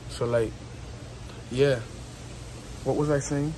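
A man's voice: medium pitch, fairly even intonation, slow, dark tone, rough recording. A young man with a strong Haitian accent, chatting casually in a rambling, repetitive way, filming himself outdoors on a phone held at arm's length. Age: young adult. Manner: rambling, repetitive, filler-heavy talk with laughs. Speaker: YouTuber. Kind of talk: casual vlog chat. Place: outdoors on a patio by a house wall. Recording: phone recording with background noise.

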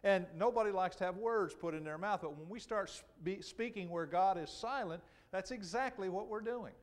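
A middle-aged man preaches to a congregation in a church. His voice sits a little higher than most men's. He teaches scripture step by step, slowing emphatically on the phrases he wants to stress. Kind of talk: sermon, expository Bible teaching. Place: church auditorium, speaking from the pulpit to a congregation.

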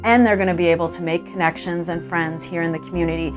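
Woman, low register, university staffer in a promo interview, music underneath. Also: fairly even intonation, quick pace. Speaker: university admissions staff member. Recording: music behind the voice.

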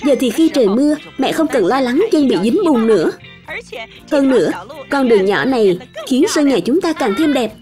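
A woman playing a kindly, encouraging young mother in a cartoon dub, gentle and approving. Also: high-pitched, animated, normal speed. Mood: gently approving. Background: background music.

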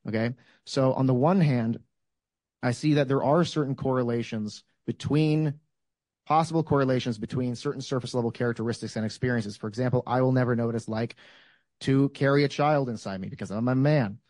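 A man's voice: medium pitch, a little fast, a little mellow, no echo. A man in his thirties speaking at a panel discussion, making his case step by step with examples and frequent fillers. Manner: thoughtful, builds arguments with examples. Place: lecture room, standing. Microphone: handheld microphone.

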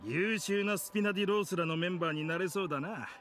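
A man playing a loyal, easygoing gentleman henchman in an anime game, talking casually and frankly. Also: high voice, fast, slight background noise. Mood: jovial, supportive. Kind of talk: Japanese anime game voice acting.

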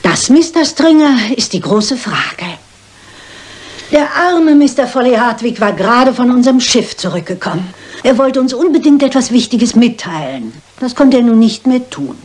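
An actress playing a sharp-witted, stubborn older lady detective in a German crime radio play, speaking briskly and precisely. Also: a little high, animated, natural voice. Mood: intrigued, deliberate.

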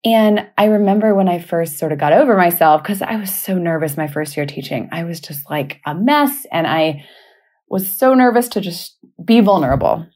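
A woman's voice: lowish voice, moderate pace, a little mellow. A woman hosting a podcast as a teacher, talking casually and conversationally with informal personal anecdotes. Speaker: podcast host and elementary teacher. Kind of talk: casual spoken podcast talk.

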